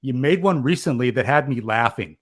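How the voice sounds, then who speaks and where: A man's voice: mid-range voice, expressive, fairly quick. Enthusiastic man hosting a live show. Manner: enthusiastic, effusive. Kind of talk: casual live chat.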